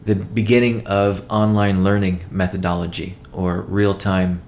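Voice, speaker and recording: A man presenting a company update as a chief executive, in a measured, matter-of-fact way with halting phrasing. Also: low-pitched, little pitch movement, darkish tone, a little hiss or hum. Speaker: company CEO hosting a weekly call. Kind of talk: business update presentation, partly read from notes.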